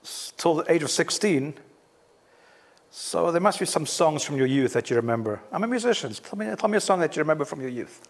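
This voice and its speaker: A man's voice: a little high, lively intonation, a little fast. South African-born man hosting an interview. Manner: probing, persuasive, talks in long runs. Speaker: talk show host. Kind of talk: casual interview.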